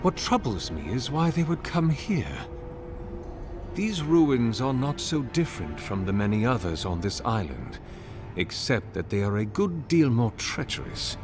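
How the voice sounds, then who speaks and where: An actor playing an ancient, solemn oracle in a fantasy game, speaking in a formal, measured and grandiloquent manner. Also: male voice, medium pitch, very animated. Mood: courtly, troubled. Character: ancient male oracle, courtly, solemn servant of a god. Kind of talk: fantasy video game dialogue. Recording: music behind the voice. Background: game music.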